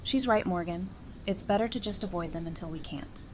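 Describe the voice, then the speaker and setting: A woman's voice: on the low side, brisk, a little hiss or hum, natural voice. A woman playing a feisty, loyal survivor in an audio drama, frank and sardonic. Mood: wry, frank. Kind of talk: fan audio drama acting.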